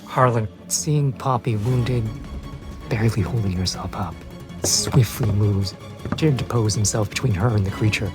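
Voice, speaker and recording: A man with a slightly low voice takes his turn as a player in a tabletop game. He narrates his character's combat moves. Music is clearly audible behind him. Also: normal speed.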